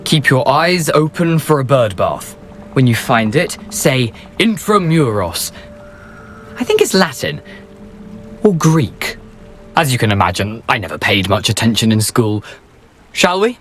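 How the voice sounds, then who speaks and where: Wry gentleman ghost. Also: medium pitch, very animated, unhurried, long pauses, soft background music. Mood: droll, rueful. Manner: wry, understated, eloquent. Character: wry, self-deprecating beheaded male ghost, rakish adventurer. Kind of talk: video game character dialogue.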